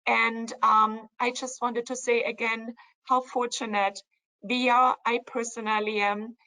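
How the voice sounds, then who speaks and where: A woman co-founder hosting a virtual company event, warmly praising a colleague and handing the floor over to him. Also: a little high, little pitch movement, unhurried, rough recording. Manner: warm, praising, guides the conversation. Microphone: video call.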